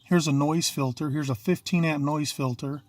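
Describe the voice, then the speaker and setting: Man with higher-pitched voice, casual walkthrough. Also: steady tone, normal speed. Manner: plain-spoken, matter-of-fact, folksy. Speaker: DIY installer presenting own work. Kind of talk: casual video walkthrough narration. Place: in and around a parked vehicle.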